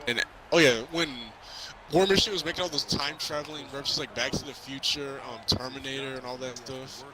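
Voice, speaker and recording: A man casually recapping a movie in a halting, rambling way, repeating himself as he goes. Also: medium pitch, steady tone, low-quality recording. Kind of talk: casual movie recap chat while playing a video game. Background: crowd noise and basketball bouncing from a game.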